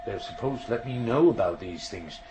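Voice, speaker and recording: An actor playing a proud, touchy middle-aged signalman father in a radio drama, gruff and lecturing. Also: lowish voice, animated, fairly quick, heavy background noise, close to an everyday voice. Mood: brisk, businesslike. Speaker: railway signalman in a radio play. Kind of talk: naturalistic radio drama acting.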